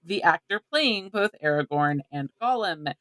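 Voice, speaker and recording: A woman's voice: fairly low pitch, animated, normal speed, a bit bright, no echo. A woman co-hosting a podcast, giving wry, articulate, wordy commentary in a casual setting. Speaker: podcast co-host. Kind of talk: casual chat with prepared commentary.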